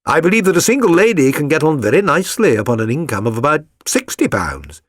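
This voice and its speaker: A man voicing a suave, courteous gentleman detective in a storybook reading, polite, assured and incisive. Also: fairly high pitch, very animated, fast, dry recording, studio quality, voice pushed up. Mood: interested.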